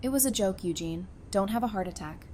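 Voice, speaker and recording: A woman's voice: mid-range voice, lively intonation, brisk, dark tone, a little hiss or hum, natural voice. Frank, informal woman played by an actress. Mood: teasing, friendly. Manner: direct, informal. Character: frank, caring, teasing woman, Talissa. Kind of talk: audio drama acting.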